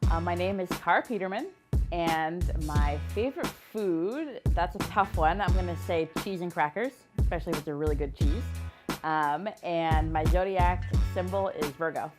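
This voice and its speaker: Relaxed, lower-voiced woman. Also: normal speed. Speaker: interviewee. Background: music bed.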